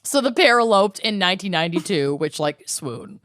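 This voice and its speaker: Chatty low-voiced woman, smooth and dry. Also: expressive, a little fast, a bit bright, dry recording. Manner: chatty, swears casually, uses filler "like". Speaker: podcast co-host. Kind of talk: casual storytelling chat.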